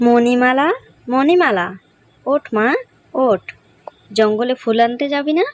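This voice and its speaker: Woman playing a high-voiced, worried village mother. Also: takes it slowly. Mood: earnest. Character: poor worried village mother, humble and loving. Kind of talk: Bengali cartoon story voice acting.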